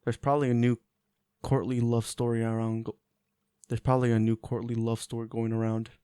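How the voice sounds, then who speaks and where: A man's voice: medium pitch, flat delivery, brisk, mellow, clean tone, no echo, studio quality, natural voice. A man playing a reserved, dutiful knight in a romantic fantasy audio roleplay, speaking with restrained courtesy. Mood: guarded. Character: reserved, dutiful male knight in love with princess.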